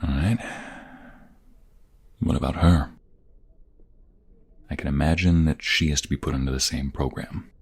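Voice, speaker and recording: A man playing a protective ex-mobster in an audio roleplay drama, husky and breathy, defiant with others and tender with his fiancée. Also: very deep voice, steady tone, unhurried, long pauses, heavily acted. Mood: guarded. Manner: intense, protective.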